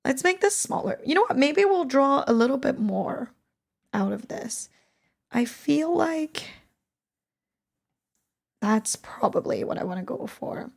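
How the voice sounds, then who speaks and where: A young woman with a raspy, worn voice after streaming, chatting casually about her drawing as she works, rambling and correcting herself and sometimes dropping to a near whisper. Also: high voice, animated, unhurried, pauses often. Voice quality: raspy, hoarse-sounding today. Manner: rambling, self-correcting, soft and half-whispered at times. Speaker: digital artist. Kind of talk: casual talk-along while drawing. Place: at home, drawing on a tablet. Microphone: voice-over mic, speaker unseen, only hands.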